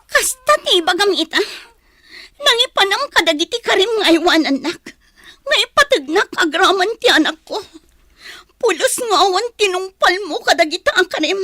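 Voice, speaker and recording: A woman's voice: very high voice, animated, normal speed, bright, forceful tone, no echo, clean studio sound, heavily acted. A woman playing a reproachful, hurt young wife and mother in an emotional audio drama. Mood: bitter, hurt.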